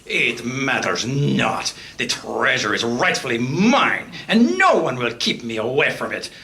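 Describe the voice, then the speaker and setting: A man's voice: mid-range voice, very animated, unhurried, creaky, sharp tone, exaggerated voice. A man playing a murderous, gloating treasure-hungry villain in a live radio play. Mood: smug menace. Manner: theatrical, taunting.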